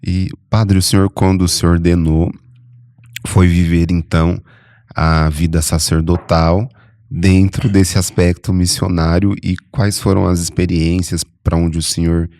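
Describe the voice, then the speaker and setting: A Brazilian host with a very deep voice speaks in an even, level tone and asks his guest questions politely and formally. His voice comes across dry and close, with no room sound. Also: unhurried, no room sound. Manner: respectful, addresses the guest formally. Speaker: podcast host. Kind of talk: interviewing a guest.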